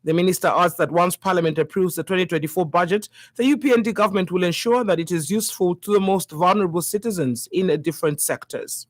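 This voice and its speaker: A woman's voice: fairly low pitch, quick pace, few pauses, dry recording. Female newsreader, Zambian accent, formal bulletin read, dry studio-style sound. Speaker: radio news reader. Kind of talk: scripted news bulletin reading.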